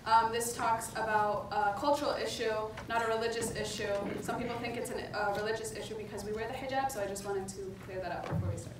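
A woman's voice: a little high, steady tone, quick pace, hardly any pauses. A young woman on an indoor stage, presenting and performing spoken word poetry. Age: young adult. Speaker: slam poet. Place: indoor stage in front of a projection screen. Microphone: far camera. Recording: very echoey room, distant mic, noisy.

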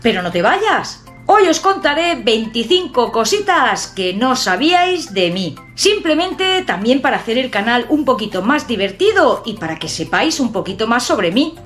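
Woman, fifties, southern-tinged Spanish accent, bright higher voice, very animated, jokey vlog chat, faint music underneath. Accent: southern Spanish-sounding, from an Extremaduran father. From Catalonia, Spain. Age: 50s. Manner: chatty, expressive, jokey, lively hand gestures. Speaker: urban exploration vlogger. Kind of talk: personal talk to camera. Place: small home room with shelves and a computer.

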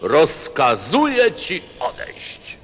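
Slow, swooping pompous king. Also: fairly high pitch, wildly swooping pitch, fairly bright tone, exaggerated voice. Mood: commanding. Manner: grandiose, hesitant, measured with sudden swoops. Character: pompous lonely king on a tiny planet, craving obedience. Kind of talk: theatrical radio play acting. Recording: long reverb over music.

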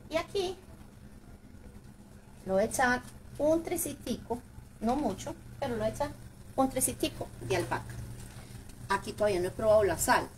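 A woman's voice: a little high, lively intonation, moderate pace, long pauses. A chatty woman with a Paisa Colombian accent giving a casual cooking demonstration, often repeating words for emphasis. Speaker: home cook, video host. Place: home kitchen, indoors. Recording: clattering kitchen noise, distant microphone.

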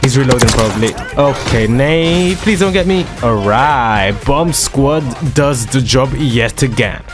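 Slightly hoarse, hyped-up gamer guy. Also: medium pitch, unhurried. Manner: excitable, slangy trash-talking banter. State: slightly hoarse, dry throat. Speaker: gaming video creator. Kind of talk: live gameplay commentary. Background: loud background music and gunfire.

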